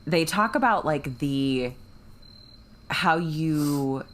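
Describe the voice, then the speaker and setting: Low-voiced, emphatic woman. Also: animated, very slow. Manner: emphatic, gushing, profane. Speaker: book club podcast co-host. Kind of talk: casual book chat.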